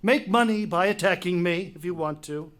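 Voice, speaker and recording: A proud, defensive king of stage tragedy, played by a man, speaks in a high male voice. The delivery is emphatic but halting, catching and repeating words as the stubborn ruler argues. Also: fairly bright tone. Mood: scornful. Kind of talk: dramatic reading of Greek tragedy.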